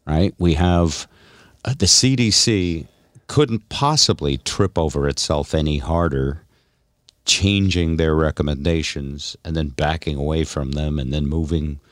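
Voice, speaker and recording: A very deep male voice, recorded dry and close. He talks casually as a podcast co-host, offering commentary with a wry sense of humor. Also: unhurried, no room sound. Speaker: radio show podcast co-host.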